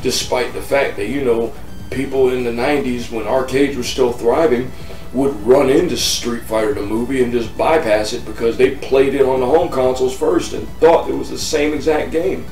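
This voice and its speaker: A man's voice: mid-range voice, normal speed, darkish tone. An American man in his thirties talking casually and bluntly to camera as a game review host, repeating himself for emphasis. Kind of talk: casual opinionated vlog talk to camera. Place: living room on a couch, indoors. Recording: roomy sound, distant mic, music behind.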